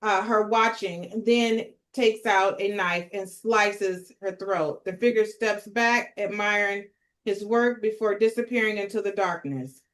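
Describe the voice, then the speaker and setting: A woman with a medium-pitched voice narrates a script. She reads scene headings and action lines as the leader of a table read. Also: measured pace, a bit bright. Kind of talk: reading screenplay stage directions.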